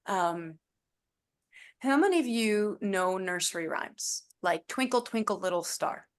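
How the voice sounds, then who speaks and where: A woman leading an online group session over a video call, gentle and encouraging, calling on participants by name. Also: mid-range voice, animated, a little slow. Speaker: guest speaker leading a session.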